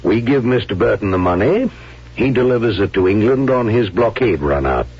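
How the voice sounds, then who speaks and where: Grave wartime leader, old radio play, probing, creaky voice, wide-ranging intonation, muffled recording. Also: male voice, fairly low pitch, expressive. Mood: grave, questioning. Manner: deliberate, probing, formal. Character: grave, careworn male wartime President. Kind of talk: 1970s radio drama acting. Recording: muffled vintage radio broadcast.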